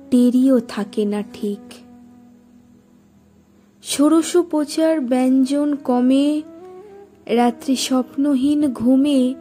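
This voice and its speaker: A woman reciting poetry in a dramatic style, with measured, deliberate phrasing and expressive pauses. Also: sits high.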